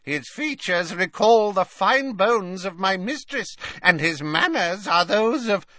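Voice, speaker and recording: A man voicing a sullen old house elf servant with a croaky voice and a haughty, servile manner in an audiobook. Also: high-pitched, expressive, takes it slowly, sharp tone, dry recording, studio quality, voice pushed up, heavily acted. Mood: reverent, haughty.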